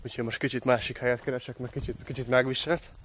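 A man's voice: medium pitch, level tone, a bit bright, a little hiss or hum. A young man talking casually to camera as a vlogger, filmed outdoors at arm's length. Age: young adult. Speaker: vlogger filming behind the scenes. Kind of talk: casual vlog talk to camera. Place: outdoors on a rocky coastal hillside.

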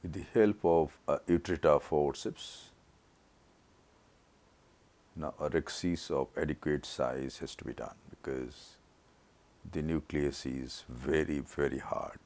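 A man narrating his own eye surgery as a teaching lesson, his voice rough from a throat infection, explaining each step in a measured way. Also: very deep voice, fairly even intonation, darkish tone. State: recovering from a throat infection, voice not normal. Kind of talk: slow surgical teaching narration.

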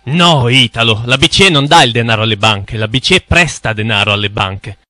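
An actor playing a cynical know-it-all man who lectures his naive friend in a didactic, emphatic, condescending way in an animated explainer. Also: mid-range voice, fairly quick, no room sound, clean studio sound. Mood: stern. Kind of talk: animated explainer cartoon dialogue.

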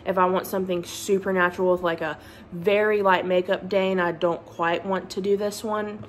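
A woman's voice: fairly low pitch, fairly even intonation, moderate pace. An American woman chatting casually as a vlogger about products, with a gushing, emphatic manner. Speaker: beauty and book vlogger. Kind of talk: casual chat, makeup product rundown. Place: casual vlog while at a beach trip. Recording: clear ambient background noise.

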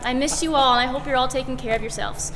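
A woman's voice: high voice, fairly even intonation, fast talker. A woman teacher speaking playfully and expressively about missing her students. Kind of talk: casual interview answer. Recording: hallway reverb with music behind.

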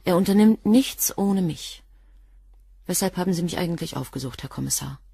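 Guarded, husky, coolly defiant assistant. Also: female voice, on the low side, lively intonation, normal speed, dark tone, no room sound, full character voice. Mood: cool, dry. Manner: precise, cool, clipped answers. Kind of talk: German crime radio play dialogue.

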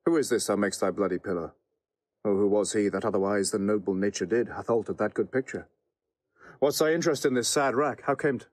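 A man playing a husky, low-voiced Roman general. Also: a little mellow, natural voice. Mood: curious, grave. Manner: measured, authoritative verse delivery. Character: noble Roman general, commanding yet fatherly and kind. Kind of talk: classical Shakespearean audio drama.

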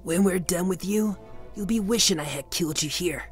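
A man's voice: high-pitched, natural voice. A man playing a ruthless, cocky mob boss with a protective streak in an ASMR roleplay drama, commanding and taunting. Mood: angry, commanding. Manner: commanding, taunting, slow deliberate delivery. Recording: loud music bed under voice. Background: music.

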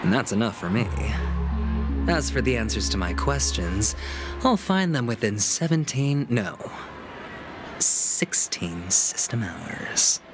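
A man's voice: mid-range voice, very animated, slow pace. A man voicing a suave, confident young schemer in an anime-style game, theatrical and wry. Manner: smooth-talking, theatrical, wry. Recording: loud background music.

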